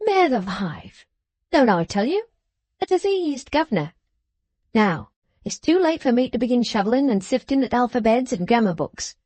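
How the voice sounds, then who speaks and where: Woman reader doing jovial old man, rough grammar, high and dry, slow and emphatic. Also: big pitch swings, a little mellow, no echo. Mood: eager. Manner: broad, rough-grammared, enthusiastic. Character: hearty uneducated older man, jovial and boastful.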